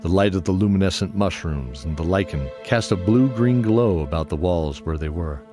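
A man's voice: low-pitched, normal speed. A man giving scripted narration for a fantasy audio drama. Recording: music under the voice. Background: music.

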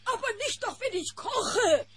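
A woman's voice: very high-pitched, animated, a little slow, crowd noise. An actress playing a bossy, motherly bear cook in a cartoon radio play, firm and scolding.

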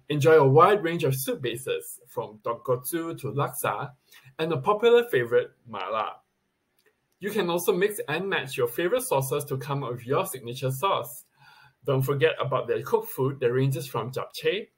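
A man reads a scripted countdown list in a somewhat husky, middle-pitched voice. He sits at a desk in a plain indoor room, recorded by a webcam. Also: fairly bright tone. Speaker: video presenter.

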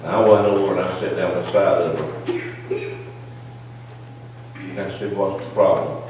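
A man's voice: sits low, fairly even intonation, a little fast, a little mellow, a little hiss or hum. A man in his sixties speaking at a church town hall. His talk builds into emphatic, preacherly declarations. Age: 60s. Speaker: audience member at a town hall. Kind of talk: impassioned public speech. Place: large church sanctuary. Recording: echoey church hall from far off.

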